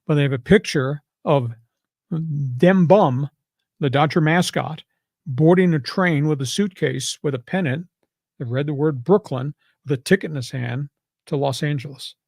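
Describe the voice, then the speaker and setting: Older American man, gravelly sports talker. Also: a little high, moderate pace, a little mellow, dry recording, studio quality. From New York, United States. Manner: emphatic, folksy colloquial turns. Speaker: sports talk show host and analyst. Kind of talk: opinionated sports talk.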